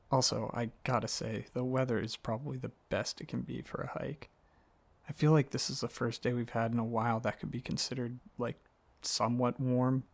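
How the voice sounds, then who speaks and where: A man playing an affectionate, excitable dragon boyfriend in an ASMR roleplay, talking casually and a little haltingly, with a gravelly voice. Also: mid-range voice. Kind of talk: ASMR roleplay, softly addressing the listener as partner.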